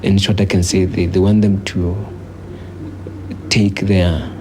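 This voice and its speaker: Very low, flat-toned South African man, noisy audio. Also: takes it slowly. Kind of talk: documentary interview. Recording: noisy 1980s newsreel location sound.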